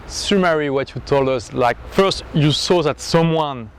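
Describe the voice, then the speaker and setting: A man's voice: a little high, unhurried, slight background noise. A man interviewing a guest, summing up the answers and encouraging him in non-native English. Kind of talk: interview chat.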